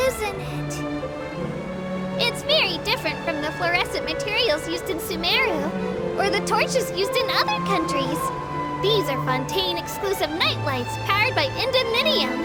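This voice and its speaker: A little childlike fantasy creature with an extremely high voice, working as a tour guide in a video game. The delivery is chirpy, polite and earnest, presented like announcements to passengers, with prominent music playing underneath. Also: female voice.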